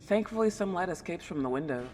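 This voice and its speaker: A woman's voice: fairly low pitch, expressive, fast, a little mellow, natural voice. A woman playing a friendly, polite and lonely ghost girl a century old, chatting in a genteel, old-fashioned way in an ASMR roleplay drama. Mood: chatty, apologetic. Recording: long reverb, clear background noise.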